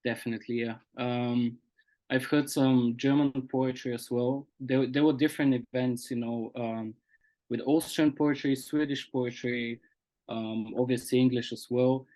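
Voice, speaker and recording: A man's voice: medium pitch, fairly even intonation, unhurried, warm tone, low-quality recording. A young man from Bulgaria, whose first language is Bulgarian, answering interview questions as a guest poet in an earnest, reflective way.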